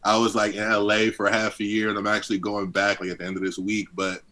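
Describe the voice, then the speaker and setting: Deep-voiced young man from D.C. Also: monotone, quick pace, sharp tone, slight background noise. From Southeast Washington, D.C. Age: 20s. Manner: laid-back, heavy on fillers, chuckles often. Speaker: guest rapper. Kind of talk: casual interview chat.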